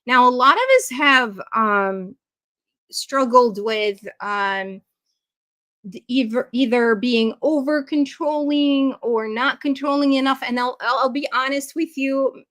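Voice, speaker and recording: Woman webinar presenter from Iraq, slightly high voice, encouraging and casual with filler words, on a video-call mic. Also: takes it slowly, a bit bright. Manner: conversational, encouraging, frequent ums and uhs. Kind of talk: live webinar talk to parents.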